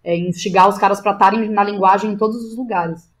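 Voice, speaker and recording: A woman's voice: medium pitch, fairly even intonation, quick pace. A Brazilian woman chatting casually as an interview guest, with informal slang and frequent tag questions. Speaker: interview guest, playwright and theatre director. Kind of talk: casual podcast conversation.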